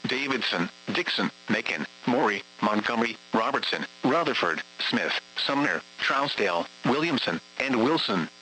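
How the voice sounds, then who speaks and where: Bright-toned man reading a formal alert. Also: medium pitch, a little hiss or hum, rough recording. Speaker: weather radio announcer. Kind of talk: scripted emergency test announcement.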